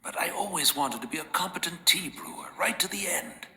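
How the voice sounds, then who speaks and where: Bright-edged, wistful old servant. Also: male voice, sits high, expressive, brisk, gravelly, reverberant, full character voice. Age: older. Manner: formal, deferential, rambling. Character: courteous, frail, self-deprecating old tea master and loyal servant. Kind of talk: video game voice acting.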